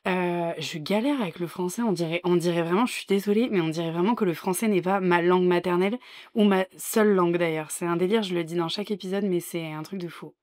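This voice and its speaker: A woman's voice: on the low side, fast talker, a little mellow, no room sound, clean studio sound. A young adult woman co-hosting a podcast in casual chat, talking freely with lots of fillers and easy laughs. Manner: chatty, laughs easily, many fillers. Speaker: podcast co-host.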